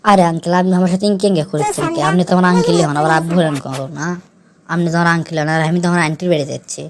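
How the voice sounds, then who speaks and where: A woman's voice: on the low side, steady tone, rapid-fire, over a noisy crowd, close to an everyday voice. A woman voicing a cheeky, stubborn son with a Bogura accent who answers back insolently in an amateur cartoon dub. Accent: Bogura, northern Bangladesh. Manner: insolent, defiant. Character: cheeky, stubborn son suspected of being high.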